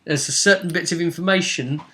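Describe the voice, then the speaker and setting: A man's voice: a little high, fairly quick, sharp tone. A man casually discussing a tabletop role-playing game as its game master, often checking with tag questions.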